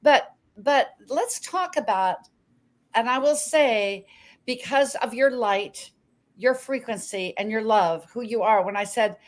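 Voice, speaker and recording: A woman's voice: a little high, slow pace. A woman hosting an online talk show, speaking effusively and gushing with praise, often restarting her sentences. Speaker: show host. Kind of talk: casual interview chat.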